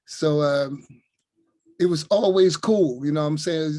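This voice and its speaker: A man's voice: on the high side, a little slow, mellow, no room sound. Older man, easygoing storyteller, dry sound. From Newark, New Jersey. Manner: easygoing, leans on "you know what I'm saying". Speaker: retired coach, guest. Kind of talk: relaxed storytelling.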